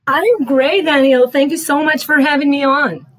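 A woman's voice: high voice, normal speed, a crowd in the background. A middle-aged woman with an Israeli accent, a guest coach talking casually in an interview, thinking aloud with many fillers and restarts. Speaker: guest, writing and life coach.

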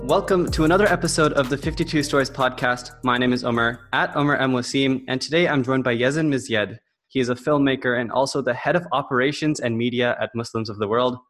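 Male podcast host, casual and encouraging, mid-pitched voice. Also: fast talker, hardly any pauses, a bit bright, soft background music. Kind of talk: casual interview chat.

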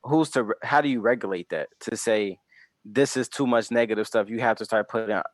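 A man in his twenties with a fairly low voice in a dry, close recording. He explains things in casual slang as a podcast co-host and keeps checking that his friends follow. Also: a little fast, no echo. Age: mid 20s.

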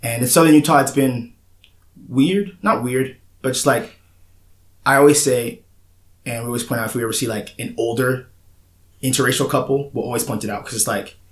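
A man's voice: mid-range voice, brisk, pauses often. A young man hosting a podcast, speaking candidly in a casual, rambling way with frequent restarts and fillers. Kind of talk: casual conversation. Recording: roomy echoey room.

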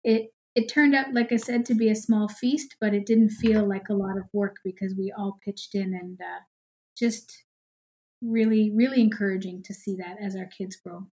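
A woman's voice: medium pitch, fairly even intonation, normal speed, a little mellow, low-quality recording. A middle-aged woman, a podcast guest, talking in an upbeat, chatty way. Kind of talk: casual interview chat.

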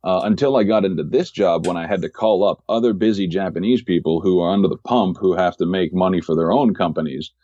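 A man, a podcast guest who works as a real estate agent, telling stories of his work in a measured way and often repeating words as he finds his phrasing. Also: low-pitched, dark tone, dull audio. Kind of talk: casual interview storytelling.

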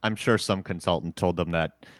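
A man hosting a casual sports podcast, talking in a relaxed, rambling way and chuckling often. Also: low-pitched, normal speed, dry recording. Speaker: podcast host.

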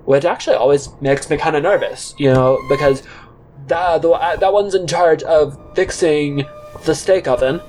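A man playing a disgruntled former fast-food worker, rambling with halting, deadpan earnestness in an audio drama monologue. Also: a little high, soft background music, natural voice, low-quality recording. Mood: deadpan, musing. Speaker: narrator and character in a solo audio drama.

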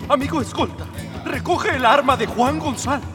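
A man's voice: very high-pitched, moderate pace. A man playing a hot-headed, self-sacrificing rebel prince in a radio play, frantic and declamatory. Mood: commanding. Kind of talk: comic radio drama acting. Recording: reverberant hall, distant from mic, music.

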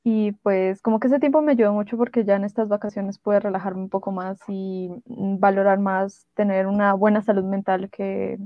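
A young woman talks casually as a podcast co-host at a medium pitch. Her voice is very dry, with no room around it, and the audio is slightly dull. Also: flat delivery, moderate pace, hardly any pauses, dry recording. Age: young adult.

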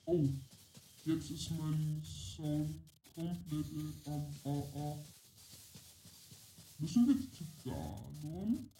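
A woman streamer chats casually over a game through a voice changer. She speaks slowly in a soft, dark tone, with echo around her and faint music behind. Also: deep voice, expressive, creaky, a little hiss or hum. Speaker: gaming streamer. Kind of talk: casual gameplay commentary. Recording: echoey room sound, faint music behind.

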